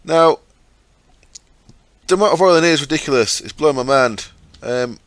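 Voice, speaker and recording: A man hosting a gaming channel, explaining his setup step by step in a chatty way with fillers, his throat sore and croaky. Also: fairly high pitch, animated, moderate pace, fairly bright tone, no room sound. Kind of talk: casual game commentary.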